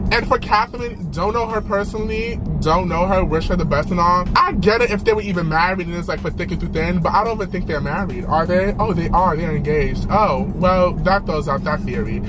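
A young man chatting casually to the camera while driving, sassy and animated, his pitch swinging up high for emphasis. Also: low-quality recording. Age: young adult. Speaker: vlogger. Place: inside a car with a sunroof. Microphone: camera mounted on dashboard.

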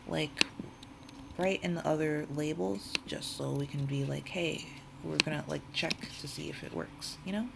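Low creaky girl talking thru a coding tutorial super casually, thinking out loud, really noisy recording w keyboard clacking. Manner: informal, thinks aloud while typing. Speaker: tutorial host. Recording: noisy room with keyboard typing. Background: computer keyboard typing, loud background noise.

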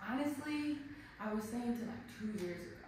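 A woman's voice: fairly high pitch, expressive, brisk, a little mellow. A thirty-year-old woman speaking candidly and with feeling on a panel, laughing and swearing freely. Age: 30s. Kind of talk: casual panel talk. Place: art gallery, indoors. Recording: echoey gallery room from far off, background noise.

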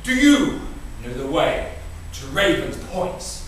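A man's voice: on the high side, sing-song, slow pace, voice pushed down. A man playing a courteous wandering stranger on a quest, speaking formally and declaiming in a stage-sketch style. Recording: echoey room, distant, faint noise.

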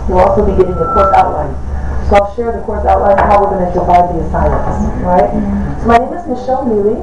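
A woman's voice: mid-range voice, fast talker, far from the mic, heavy background noise. A woman in her forties from Texas with a Texan accent, giving a chatty lecture to a class and asking them questions. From Texas, USA. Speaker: business school lecturer. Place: large classroom with projector. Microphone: far camera. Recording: echoey room from afar, muffled.

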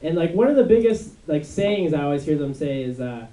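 A young man with a high, unmasculine voice doing a rambling stand-up set on a club stage, with many fillers and restarts. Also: animated, brisk, warm tone. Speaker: stand-up comedian. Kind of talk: stand-up routine. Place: dark club stage before a live audience. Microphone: handheld mic on a stand. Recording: echoey room, mic from far off.

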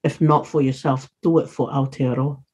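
A woman's voice: extremely low pitch, steady tone, moderate pace, a little mellow, breathy edge. A middle-aged woman speaking earnestly as a guest on a video call, urging listeners on. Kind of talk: earnest interview talk.

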